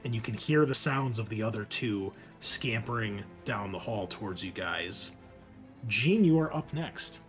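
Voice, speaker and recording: A man's voice: lowish voice, lively intonation, a little slow, soft background music. A man game mastering a tabletop roleplaying game, explaining rules and narrating the action in casual talk.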